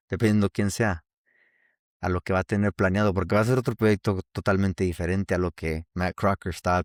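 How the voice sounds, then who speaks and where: A man's voice: low voice, dry recording, clean studio sound. A man from Mexico with a Mexican accent, hosting a casual solo podcast and talking in a rambling way with many restarts. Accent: Mexican Spanish. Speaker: podcast host.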